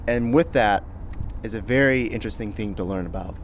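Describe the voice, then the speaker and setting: A man's voice: lowish voice, a little fast. A man hosts a casual podcast interview, upbeat and curious, asking questions at an easy clip. Faint traffic hums behind his voice in the outdoor setting. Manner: upbeat, inquisitive. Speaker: podcast host.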